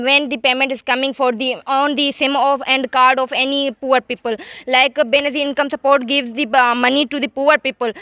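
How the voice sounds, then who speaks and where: A woman's voice: high-pitched, no room sound, dull audio. A woman from Pakistan, debating in a classroom and talking hesitantly with many fillers and restarts. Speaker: debate participant.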